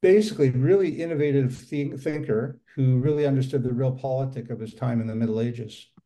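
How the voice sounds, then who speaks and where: An older man giving a casual club presentation over a video call from a home room, halting with many fillers and restarts. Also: medium pitch, dark tone, poor audio. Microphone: video call webcam.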